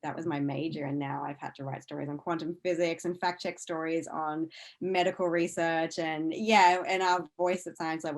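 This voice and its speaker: An Australian woman giving casual, informal interview answers, chatty and often restarting her sentences with plenty of "like". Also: deep voice. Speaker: interview guest, science news CEO.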